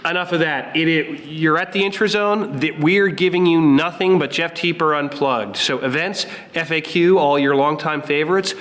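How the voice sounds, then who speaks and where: A man's voice: fairly high pitch, moderate pace, few pauses, a bit bright. A man co-hosting a podcast live at a conference, upbeat and joking, with playful asides. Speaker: podcast co-host. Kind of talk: casual podcast chat.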